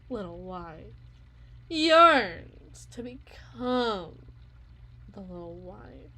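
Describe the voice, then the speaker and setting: A woman's voice: medium pitch, bright, forceful tone, slight background noise, low-quality recording. A woman playing a bitter, unhinged, anguished wife in a dramatic ASMR roleplay monologue, theatrical and moving between whispers and intense outbursts.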